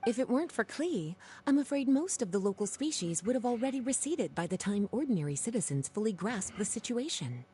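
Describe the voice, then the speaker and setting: A woman voicing a poised, unhurried scholar who explains matters in a composed, measured way, in video game dialogue with game music behind. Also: a little high, big pitch swings, warm tone, slight background noise.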